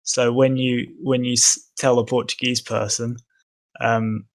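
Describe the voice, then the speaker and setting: A man's voice: mid-range voice, little pitch movement, unhurried, low-quality recording. A young man from England, a podcast guest, talking casually and hesitantly with many ums and restarts. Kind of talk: casual conversation.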